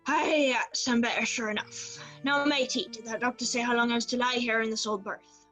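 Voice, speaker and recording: A woman playing a rough, fearful old male sea captain who craves rum, in a radio play with sailor dialect. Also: on the high side, steady tone, a little fast, a bit bright, faint music behind, poor audio. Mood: agitated, fearful.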